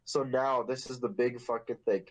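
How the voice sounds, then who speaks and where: A man's voice: mid-range voice, steady tone, moderate pace, rough recording. Young guy telling a rowdy story. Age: young adult. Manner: profane, rambling, jokey. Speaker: podcast host. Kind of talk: casual chat, storytelling.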